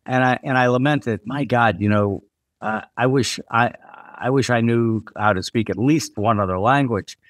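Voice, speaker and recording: A man hosts a podcast in casual conversation. His voice sits at a middling pitch, and he tells stories at an easy, relaxed pace. The recording is dry and close. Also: normal speed, no room sound. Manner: relaxed storytelling with short agreements. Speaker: podcast host.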